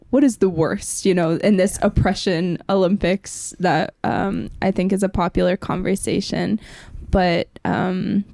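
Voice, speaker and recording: A woman speaks with noticeable vocal fry and a soft, mellow tone at a middling pitch. She is a podcast panelist laying out ideas in a measured, reflective, academic manner, recorded dry with no room sound. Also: dry recording. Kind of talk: thoughtful academic discussion.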